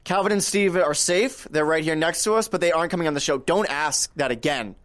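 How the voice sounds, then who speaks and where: Man playing an eager, high clear-voiced amateur crook. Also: a little fast, a bit bright, close to an everyday voice. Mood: firm, defiant. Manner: polite, eager, defiantly confident. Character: earnest, upbeat male amateur kidnapper, fanboy entrepreneur. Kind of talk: audio drama dialogue.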